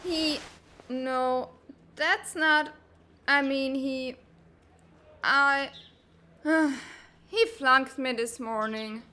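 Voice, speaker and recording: A woman voicing a brash, hot-tempered teenage girl in a comic dub, blustery, bossy and quick to get defensive. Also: high voice, bright, forceful tone, full character voice. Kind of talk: animated comic-dub voice acting.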